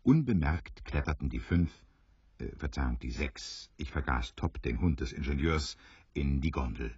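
A man narrating a dramatised story in a theatrical, deliberate way with a wry touch. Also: very deep voice, lively intonation, a little mellow, breathy edge, dry recording, exaggerated voice. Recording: dry, slightly dull studio recording.

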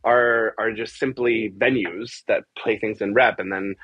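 Low, crisp-voiced man thinking aloud. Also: fairly even intonation, dry recording, highs cut off. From born in Argentina, grew up in the US from age four. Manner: halting, repeats words, often checks in with "right?". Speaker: theater composer, music director and adapter. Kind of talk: conversational interview answer. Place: small bare room, indoors, video call.

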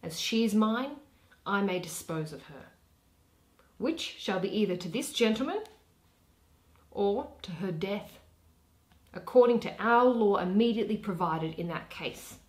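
A woman's voice: medium pitch, animated, measured pace, pauses often, a little mellow, close to an everyday voice. A woman voicing an angry, aggrieved old father in Shakespearean verse, declaiming emphatically. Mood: indignant, accusing.

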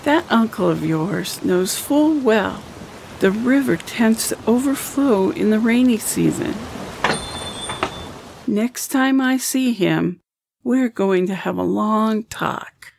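A woman's voice: medium pitch, expressive, slow pace, gravelly, darkish tone, poor audio. A woman playing a blunt, grumbling, self-deprecating old woman in an audio drama.

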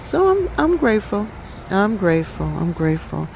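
A woman hosting a relaxed garden tour outdoors, talking reflectively with many pauses and ums. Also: medium pitch, creaky, slight background noise, poor audio. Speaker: garden channel host. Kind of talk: casual garden tour vlog.

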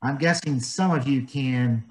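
A man reading a picture book aloud to young children, gently explaining it in simple words and asking them questions. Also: mid-range voice, unhurried, poor audio. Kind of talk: storybook read-aloud with explanations.

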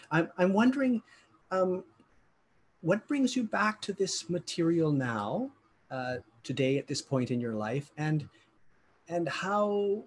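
A man in his fifties hosting an interview over a video call with a headset, earnest and halting with restarts. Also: medium pitch, slightly rough. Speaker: host. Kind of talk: interview questions. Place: home study with bookshelves.

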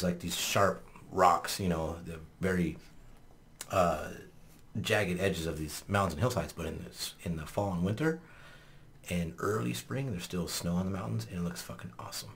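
A man hosting a podcast in a small studio, talking casually, swearing often and laughing loudly. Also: sits low, normal speed, creaky, a little hiss or hum. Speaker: podcast host. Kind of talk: casual chat. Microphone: studio mic on boom arm.

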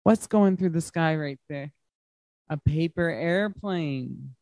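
A man reads a children's story aloud at an unhurried pace. His phrasing is simple and emphatic, made for young listeners, and the sound is dry with little room echo. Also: on the high side, a little mellow. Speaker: guest reader at children's story time. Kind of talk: expressive picture-book read-aloud to children. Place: large glass-walled library room.

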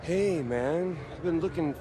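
A man's voice: a little high, a little slow, background noise, lightly acted. A man playing a rebellious young American delinquent, the younger brother, in a video game cutscene. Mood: cocky. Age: young adult.

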